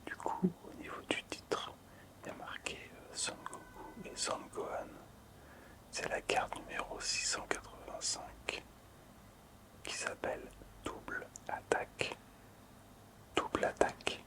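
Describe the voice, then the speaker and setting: Male ASMR host, fully whispered and breathy, soft hesitant delivery with repeated phrases. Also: a little slow, long pauses. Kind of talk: whispered ASMR presentation of collectible cards.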